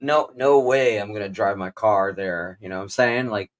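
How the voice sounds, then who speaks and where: A man's voice: on the low side, normal speed. A man with a Kapampangan accent, co-hosting a casual livestream and reading viewer comments aloud in a rambling way. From Philippines. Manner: rambling, lots of "um" and "you know what I'm saying", chuckles. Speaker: livestream co-host.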